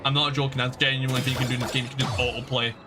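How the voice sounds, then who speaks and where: Fast-talking male game streamer. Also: medium pitch, flat delivery. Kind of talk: casual live game commentary. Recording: music playing behind the voice.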